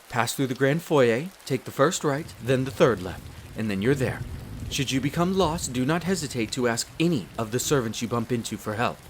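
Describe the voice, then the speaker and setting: Faint rain runs under a polite wolf butler of a vampire castle , acted by a man. He speaks formally and deferentially, in a mid-pitched voice, with an apologetic, courteous manner suited to fantasy roleplay audio. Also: slight background noise, natural voice. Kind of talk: scripted roleplay audio drama.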